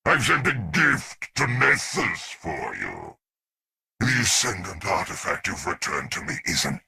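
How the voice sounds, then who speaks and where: A man playing a grandiose, indulgent, scheming alien emperor in a video game, with a deep, raspy voice and pompous, theatrical delivery that savors each word. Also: bright, forceful tone, full character voice. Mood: intimate, gloating. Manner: pompous, theatrical, savoring words with big swells. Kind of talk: scripted video game dialogue.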